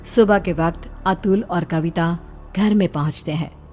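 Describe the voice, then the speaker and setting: A woman's voice: lowish voice, a little slow, warm tone. A woman delivers scripted narration for a horror story. Faint music plays behind her as she tells the tale.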